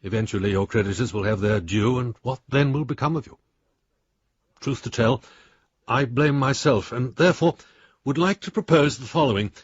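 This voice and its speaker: Actor as male lawyer friend, wry, long-suffering, slightly rough-edged voice, measured delivery, radio drama, no room echo. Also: medium pitch, lo-fi sound, lightly acted. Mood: reproachful, caring. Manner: dry, measured, mildly exasperated. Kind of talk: radio drama letter monologue.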